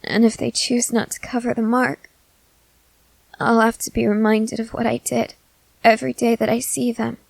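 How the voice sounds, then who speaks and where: A woman voicing a gentle, nervous, newly turned young female vampire who speaks haltingly in an ASMR roleplay. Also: a little high, little pitch movement, vocal fry, no echo, pitched above the performer's own voice. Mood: nervous, reluctant. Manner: hesitant, halting, soft-hearted. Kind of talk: scripted ASMR audio roleplay.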